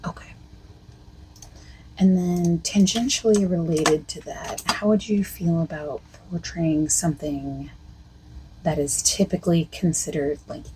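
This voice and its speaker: A woman speaking softly and partly in whispers as a costume consultant in an ASMR roleplay, with a hesitant, filler-heavy way of talking. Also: a little hiss or hum, rough recording. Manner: soft-spoken, drifting between whisper and low voice. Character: female costume consultant asking the listener questions.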